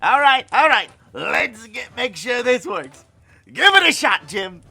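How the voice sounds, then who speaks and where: An actor playing an excitable, fatherly inventor in an audio drama, chatty and exclamatory, swinging between excitement and tenderness. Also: extremely high pitch, a little slow, creaky, husky, soft background music, heavily acted. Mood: excited. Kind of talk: cinematic audio drama acting.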